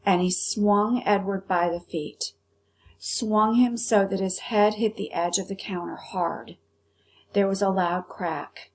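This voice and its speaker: A woman's voice: medium pitch, steady tone, takes it slowly. A woman teacher narrating a children's novel as a read-aloud.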